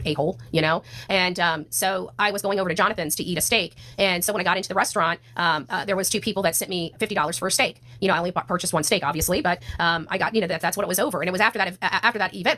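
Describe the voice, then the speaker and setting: A woman's voice: lowish voice, pushed and bright. An American woman talking off the cuff as a livestream host, ranting to her viewers in a blunt, indignant and slangy way. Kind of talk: casual livestream rant, replying to chat.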